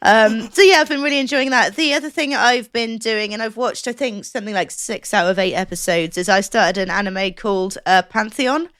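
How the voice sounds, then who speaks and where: A woman's voice: on the high side, a little fast, hardly any pauses, a bit bright, no room sound, studio quality. A woman chatting casually as a podcast co-host, explaining things in an enthusiastic, rambling way.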